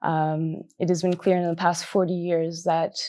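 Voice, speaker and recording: A woman in her twenties on a panel, answering earnestly in a halting way with many fillers. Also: fairly low pitch, flat delivery, warm tone. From Canada. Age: 20s. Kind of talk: personal answers in a panel discussion.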